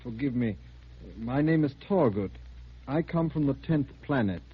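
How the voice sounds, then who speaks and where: An actor playing a polite, enigmatic alien visitor who speaks formally and deliberately in a 1950s radio drama. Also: male voice, medium pitch, expressive, slightly rough. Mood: polite, mysterious. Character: courteous, enigmatic male alien visitor from tenth planet. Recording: noisy, dull vintage 1950s radio recording.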